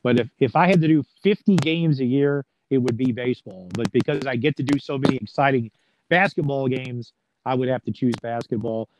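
A man with a middling-pitched voice chats as a guest in a relaxed interview. He is folksy and plain-spoken, with a joking way of putting things. There is some noise and clicking behind him. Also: a little fast, poor audio. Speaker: sports play-by-play announcer as interview guest. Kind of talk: casual interview chat.

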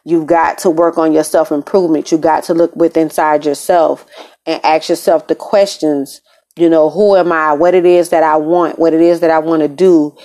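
American lady doing a motivational podcast from her apartment, kinda low voice, real conversational, repeats stuff for emphasis. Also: level tone, moderate pace, warm tone. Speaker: podcast host. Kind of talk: casual motivational monologue. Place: room in an apartment.

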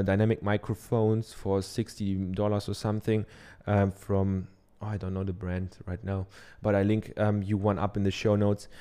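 Man, german accent, low mellow voice, relaxed chatty explainer with lots of ums. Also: clear, resonant tone. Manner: loose and chatty, many ums and tag questions. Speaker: podcaster. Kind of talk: casual how-to talk. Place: treated home office. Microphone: dynamic studio microphone.

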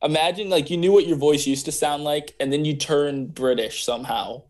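A man's voice: on the high side, normal speed, a little mellow. A man co-hosting a casual podcast, easygoing and thoughtful, with fillers as he thinks. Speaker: podcast co-host. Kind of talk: casual chat.

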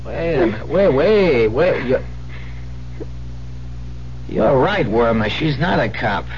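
An actor playing a rough, suspicious hoodlum with a bullying, slangy manner in a 1970s radio drama. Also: male voice, medium pitch, animated, slow pace. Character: rough, suspicious male hoodlum running a shady place. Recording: muffled old radio broadcast, faint hum.

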